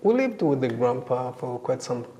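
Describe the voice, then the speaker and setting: A middle-aged man with a Rwandan accent, giving reflective personal testimony in a halting, thoughtful way. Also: medium pitch, sing-song, warm tone, slight background noise. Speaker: interview guest sharing survivor testimony.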